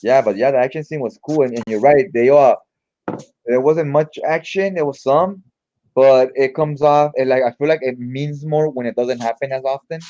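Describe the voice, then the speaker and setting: A man's voice: medium pitch, moderate pace, mellow, muffled recording. A man giving casual read-along comic commentary in an informal, slangy, mildly profane way. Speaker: comic book reviewer.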